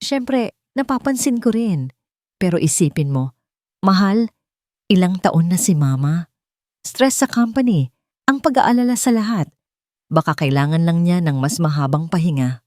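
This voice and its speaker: Woman playing a smooth scheming daughter-in-law, mellow voice. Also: fairly low pitch, lively intonation, normal speed, pauses often, no echo, studio quality, natural voice. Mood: soothing. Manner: hushed, emphatic. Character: scheming, smooth-talking daughter-in-law, firm and soothing villainess.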